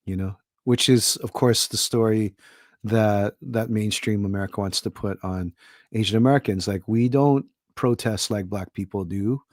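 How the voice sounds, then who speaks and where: A man's voice: fairly low pitch, moderate pace, a little mellow, dry recording, clean studio sound. A middle-aged man from Hawaii, an author guesting on a podcast, talking in a thoughtful, rambling way with many fillers. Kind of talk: casual podcast conversation.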